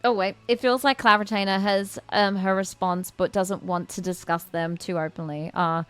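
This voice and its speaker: A woman's voice: medium pitch, fairly even intonation, normal speed, clear, resonant tone, faint music behind. A woman chatting casually as she hosts a game stream, greeting viewers affectionately.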